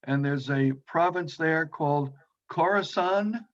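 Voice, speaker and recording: A man conducting an interview, asking questions in a polite, measured, conversational way. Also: fairly high pitch. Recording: dull lo-fi call audio.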